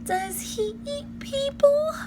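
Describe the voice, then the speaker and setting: A woman voicing an eager, fearless young girl in a children's storybook, with a playful, animated, cooing delivery. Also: very high-pitched, slow, over music, pitched above the performer's own voice, exaggerated voice. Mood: alarmed. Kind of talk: children's storybook read-aloud.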